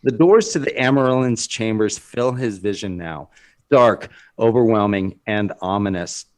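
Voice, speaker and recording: A man's voice: fairly low pitch, moderate pace. A man reading scripted dramatic narration as the narrator of a screenplay.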